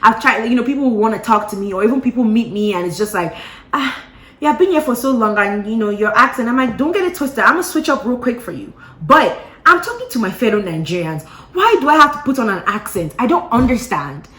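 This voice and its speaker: A woman vlogger casually telling a gossipy story to her viewers, animated and full of playful asides. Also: a little high, fairly quick. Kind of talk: casual gossip chat to camera. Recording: roomy echo.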